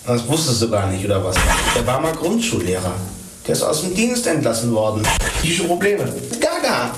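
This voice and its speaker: Actor as grumbling, sarcastic boyfriend. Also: male voice, medium pitch, sing-song, fairly quick, very noisy, close to an everyday voice. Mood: annoyed, mocking. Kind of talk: naturalistic radio drama acting.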